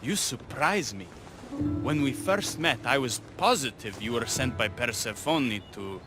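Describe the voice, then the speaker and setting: An actor playing a wry, lovelorn young rebel in a video game, speaking in a flowery, sardonic way. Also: male voice, mid-range voice, lively intonation, measured pace, music in the background, lightly acted. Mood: wary. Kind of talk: video game cutscene acting.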